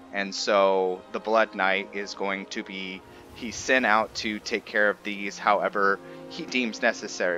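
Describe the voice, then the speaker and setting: A man's voice: on the low side, bright, forceful tone, dull audio. A man hosting a let's play with casual gameplay commentary, hesitant and often correcting himself as he explains.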